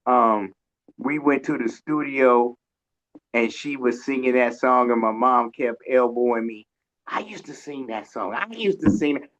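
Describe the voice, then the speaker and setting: A man in casual chat on a radio show, halting as he searches for words, over a dull lo-fi online connection. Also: mid-range voice, fairly bright tone.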